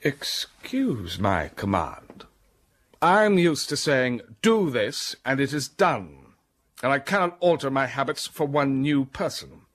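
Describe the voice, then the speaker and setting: An actor playing an imperious gentleman in a radio drama, questioning curtly. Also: mid-range voice, very animated, slow pace, full character voice. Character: brusque, commanding gentleman of the manor.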